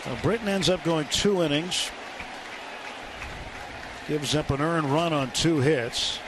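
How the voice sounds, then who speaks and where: A man doing live radio play-by-play baseball commentary in measured, short phrases with pauses, as the announcer. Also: a little high, a crowd in the background. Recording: clear stadium noise behind. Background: outdoor ballpark ambience.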